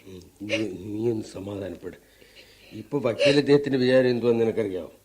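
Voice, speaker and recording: An actor playing a drunken, defiant, aggrieved husband in a radio drama, with a southern Kerala Malayalam accent and a blustering, grumbling delivery. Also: male voice, lowish voice, a little fast, darkish tone, lightly acted. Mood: resentful. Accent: Kerala Malayalam, southern Travancore. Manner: blustering, grumbling, with frequent grunts. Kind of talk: mid-century Indian radio drama acting.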